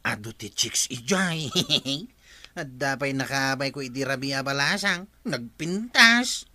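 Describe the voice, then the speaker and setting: A man playing a girl-crazy, easily flattered drinker with a drawling, giggly manner and an Ilocano Filipino accent in radio comedy. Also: medium pitch, a little slow. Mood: boastful.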